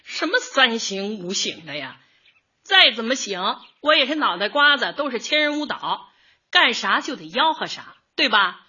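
A woman's voice: a little high, sing-song, moderate pace, sharp tone, husky, dry recording, muffled recording, exaggerated voice. An actress playing a bold, hearty middle-aged neighbourhood woman with a Northeastern Chinese accent in a radio comedy, folksy and forthright. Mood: indignant, defiant. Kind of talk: radio comedy drama acting.

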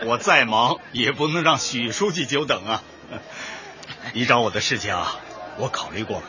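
Male store boss, very sharp bright voice, polite but businesslike, radio drama, slightly noisy. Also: medium pitch, animated, moderate pace. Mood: apologetic, jovial. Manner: effusively polite, chuckling, businesslike. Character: busy, obliging male supermarket boss, apologetic yet businesslike. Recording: dull band-limited audio, faint noise.

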